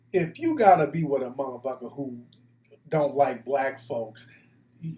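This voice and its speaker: A man's voice: on the high side, dark tone. An American man hosting a casual, opinionated live talk show, speaking bluntly with slang and profanity. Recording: echoey room.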